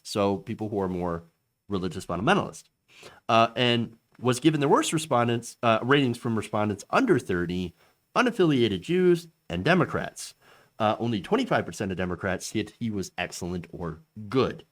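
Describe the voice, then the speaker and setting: A man hosting a casual political commentary, reading quotes and giving his opinion with many fillers and restarts. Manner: lively pitch with frequent fillers and restarts; reads quotes aloud with raised pitch.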